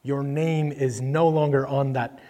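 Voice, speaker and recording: A man's voice: medium pitch, fairly even intonation, a little slow, mellow. Man preaching casually in a reverberant hall. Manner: conversational, filler-heavy, voices characters when reading. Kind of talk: casual sermon with scripture reading. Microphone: microphone that cuts out.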